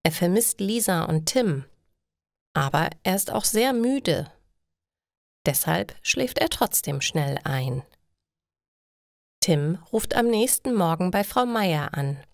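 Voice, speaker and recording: A woman's voice: lowish voice, lively intonation, slow, creaky, long pauses, no echo, clean studio sound. A woman reading scripted stories for language learners as a narrator. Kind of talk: slow, clearly read scripted story narration.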